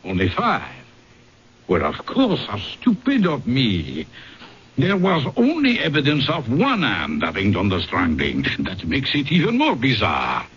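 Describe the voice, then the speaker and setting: An actor playing a shaken veteran physician, older, speaking with grave, halting, ominous emphasis in a radio drama. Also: male voice, mid-range voice, huge pitch swings, a bit bright, slightly rough, exaggerated voice. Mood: horrified, pondering. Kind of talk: period radio drama acting. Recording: dull old radio recording, faint noise.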